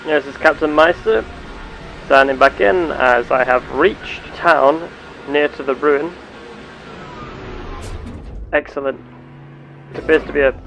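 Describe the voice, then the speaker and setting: A man playing a genteel space captain and explorer, speaking in formal, measured, old-fashioned wording as he narrates a game in character. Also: fairly high pitch, creaky, fairly bright tone, muffled recording, heavily acted. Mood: composed.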